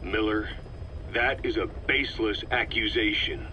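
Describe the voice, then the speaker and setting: Shrewd old-hand spy officer, really low voice w/ hard edge, measured n analytical, game drama, muffled tape sound. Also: male voice, pushed and bright. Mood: firm, reasoning. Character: shrewd, level-headed veteran male intelligence officer. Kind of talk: dramatic video game voice acting. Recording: muffled, slightly noisy cassette tape.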